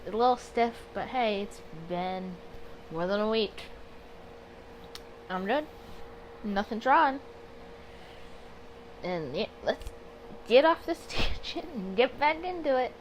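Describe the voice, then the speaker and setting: A woman's voice: on the high side, expressive, takes it slowly, long pauses, poor audio. A woman hosting a casual let's play, chatty and rambling, stopping to correct herself and reading visual novel text aloud. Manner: chatty, rambling, self-interrupting and apologetic. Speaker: host of a gaming channel. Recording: home recording with background noise.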